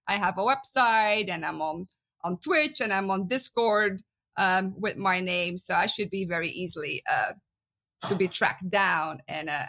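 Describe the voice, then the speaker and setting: A woman's voice: medium pitch, sharp tone. A woman in her thirties from Belgium with a Dutch accent, chatting as an interview guest, lots of ums and easy laughter. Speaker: guest archaeologist. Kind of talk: casual interview chat.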